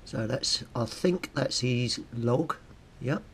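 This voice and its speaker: A British man giving a casual how-to commentary, thinking aloud and muttering informally to himself as he works. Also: slow. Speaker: hobbyist video host. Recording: steady background noise with low rumble.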